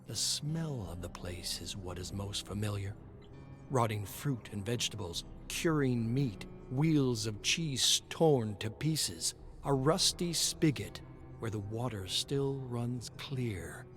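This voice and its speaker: A man narrating a scripted story dramatically, in a measured and solemn manner. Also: medium pitch, lively intonation, fairly bright tone. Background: music.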